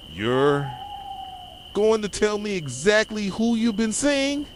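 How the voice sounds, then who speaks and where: Man acting a soft-voiced possessive boyfriend, swooping intonation. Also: on the low side, noisy background. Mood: controlling, demanding. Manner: intense, pleading yet controlling, addressing a partner directly. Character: possessive, obsessive boyfriend confronting his pregnant girlfriend. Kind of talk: ASMR roleplay, dramatic monologue. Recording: nighttime cricket and owl ambience behind. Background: crickets, insects and hooting owls.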